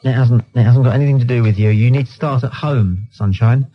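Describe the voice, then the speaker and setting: A man's voice: mid-range voice, fairly quick. A British man hosting a late-night phone-in, arguing in a blunt, dismissive way and insistently repeating himself. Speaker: radio phone-in host. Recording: dull lo-fi radio recording.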